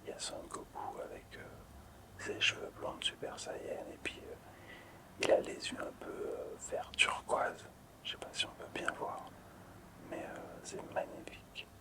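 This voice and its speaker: A man whispers breathily as an ASMR host presenting collectibles, lingering and repeating words softly. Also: a little slow.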